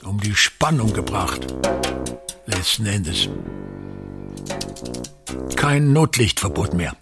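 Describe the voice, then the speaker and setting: Grandiose bitter old actor in a radio play, slow and declaiming, swings up and down, self-pitying. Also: male voice, fairly low pitch, very animated, music in the background, heavily acted. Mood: musing, bitter. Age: older. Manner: declamatory, brooding, halting fragments. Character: pompous, self-pitying aging actor-playwright, grandiose and scornful. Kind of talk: theatrical radio drama monologue.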